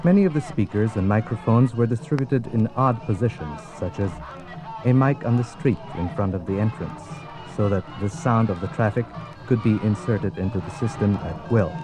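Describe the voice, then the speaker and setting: A man with a low voice narrates from a script in a formal, measured announcer style. Music plays clearly behind him as he speaks.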